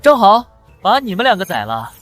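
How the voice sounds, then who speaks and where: A man voicing a cocky, fearless young hero, swaggering and confident, in an animated fantasy drama. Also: high voice, normal speed, faint music behind, exaggerated voice. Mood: mocking, aggressive. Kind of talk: animated xianxia drama dubbing.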